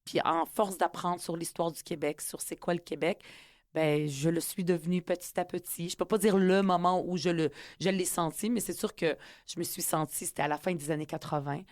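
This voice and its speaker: Low-voiced Quebec woman, reflective guest. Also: fairly even intonation, moderate pace, a little mellow, no echo, studio quality. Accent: Quebec French. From Middle East, raised in Quebec from age ten. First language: Arabic. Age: 40s. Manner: earnest and reflective, many hesitations. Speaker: politician and author guest. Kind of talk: conversational interview.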